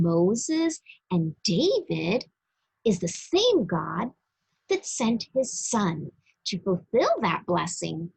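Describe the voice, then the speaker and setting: A woman reading a religious children's book aloud, teaching deliberately and expressively and speaking directly to young listeners. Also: medium pitch, slightly rough, poor audio. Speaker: storybook reader for a church.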